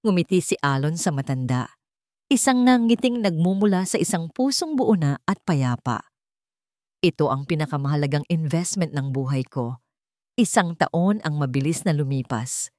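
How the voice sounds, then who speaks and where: A woman reading a scripted, sentimental romance story as narrator, in a measured and earnest storytelling manner. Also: deep voice, animated, darkish tone, dry recording, clean studio sound.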